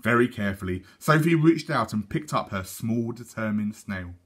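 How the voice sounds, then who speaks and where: A man reads a children's storybook aloud with a teacher's measured delivery. His pitch is very animated and his tone slightly husky. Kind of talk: expressive storybook narration.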